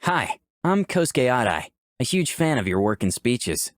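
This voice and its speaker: A man's voice: medium pitch, sing-song, measured pace, no room sound, studio quality. A man playing an eager young tech fan who earnestly narrates his own story in a visual novel. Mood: eager, polite. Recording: music behind the voice.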